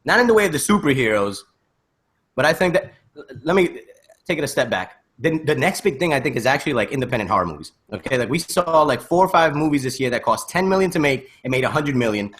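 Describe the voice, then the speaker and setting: A man hosting a casual panel discussion, opinionated and animated, checking in with rhetorical questions over a video call. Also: mid-range voice, fast talker. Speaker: podcast host.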